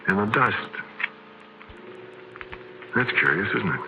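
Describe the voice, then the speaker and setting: Very low-voiced haunted radio horror narrator. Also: male voice, lively intonation, creaky, sharp tone, heavily acted. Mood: brooding narration. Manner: hesitant, brooding, halting. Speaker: narrator and lead actor. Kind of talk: 1940s radio horror acting, first-person narration. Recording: noisy old radio broadcast recording.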